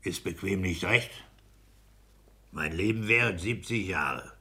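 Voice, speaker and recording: Radio-drama role: smug, blunt minor civil servant, low husky voice, lecturing and pedantic. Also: male voice, takes it slowly, bright, forceful tone, exaggerated voice. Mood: complacent. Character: smug, blunt petty-bourgeois civil servant, self-satisfied husband. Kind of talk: 1960s German radio play acting.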